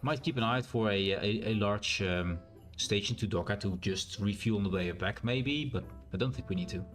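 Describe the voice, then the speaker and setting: A man with a naturally loud voice and a strained, sore throat, thinking aloud in a rambling way as he streams a game. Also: fairly low pitch, normal speed, faint music behind. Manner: rambling, thinks aloud with fillers and self-corrections. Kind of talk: casual live-stream gameplay commentary.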